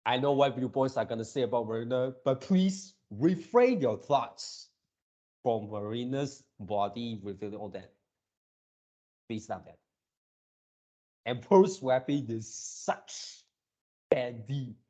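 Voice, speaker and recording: A man hosting a reaction video with casual, off-the-cuff commentary, speaking haltingly and repeating his words and phrases. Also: medium pitch, expressive, warm tone.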